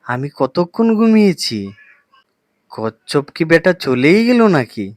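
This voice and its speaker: Cartoon hare, played by a male narrator: overconfident and lazy, drawling slowly, close dry sound. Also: a little high, sing-song, darkish tone, dry recording. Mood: startled.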